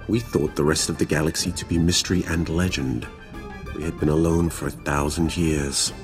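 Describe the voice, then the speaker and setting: A very low-pitched, ancient Sith lord from a video game, proud and grim. He speaks formally and solemnly, with cold disdain, over background music. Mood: brooding. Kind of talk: dramatic video game voice acting.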